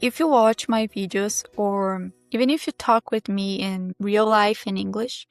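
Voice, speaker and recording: Brazilian accented woman teaching english, measured and reflective, gravelly fry, dry close mic, faint music behind. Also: mid-range voice, dry recording. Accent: Brazilian Portuguese. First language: Portuguese. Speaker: English learning channel host. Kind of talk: reflective teaching monologue to camera. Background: soft background music.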